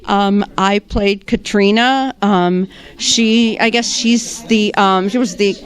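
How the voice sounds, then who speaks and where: A woman actress answering red carpet questions, hesitant, with many pauses and restarts. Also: mid-range voice, steady tone.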